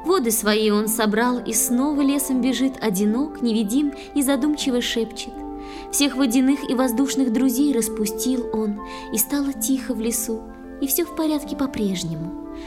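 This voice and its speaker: A woman playing a gentle, devoted young water nymph bride, reciting tenderly in a poetic audio play for children. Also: on the high side, normal speed, music in the background, close to an everyday voice. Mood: serene, tender.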